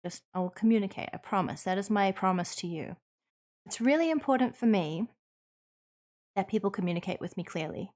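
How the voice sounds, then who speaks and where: A woman with a New Zealand accent, streaming and chatting with viewers in an earnest, reassuring way, pausing with ums as she thinks. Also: medium pitch, expressive, darkish tone. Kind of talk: casual chat answering viewers.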